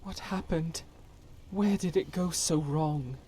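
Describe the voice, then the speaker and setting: A woman playing a devout warrior in a game mod, earnest and solemn, with halting formal phrasing and breathy whispers. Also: low-pitched, warm tone. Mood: anguished, guilty. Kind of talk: dramatic video game mod voice acting.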